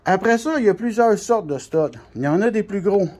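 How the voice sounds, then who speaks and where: A man's voice: high-pitched, lively intonation, fairly quick, darkish tone. A man with a Quebec French accent giving an informal hands-on explanation, colloquial and repeating points for emphasis. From Canada. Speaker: CB radio shop technician.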